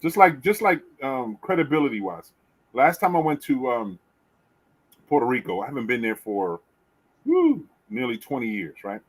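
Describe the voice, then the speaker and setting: A middle-aged man hosting a podcast, chatting casually and telling lively stories with casual swearing. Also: medium pitch, moderate pace, husky, rough recording. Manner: animated storyteller, swears casually. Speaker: podcast host. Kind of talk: casual chat.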